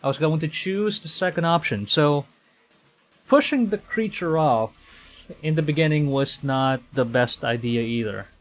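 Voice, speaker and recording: A man from the Philippines streaming a game, thinking aloud in a halting way and reading story text aloud. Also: a little high. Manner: halting, thinking aloud, stumbling over read lines. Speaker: VTuber streamer. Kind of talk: live game playthrough commentary, reading visual novel text aloud. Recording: background game music. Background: game music.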